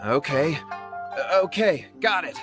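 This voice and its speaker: A man's voice: a little high, lively intonation, slow, music in the background. A man playing a worried, flustered villager in a video game, fretful and hesitant. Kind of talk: video game character dialogue.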